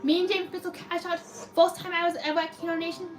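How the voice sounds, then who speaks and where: A woman with a robotic-sounding voice chatting excitedly through a live slot machine stream, reading and answering chat comments. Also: very high voice, fast, faint music behind. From United States. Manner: chatty, excitable cheering at spins.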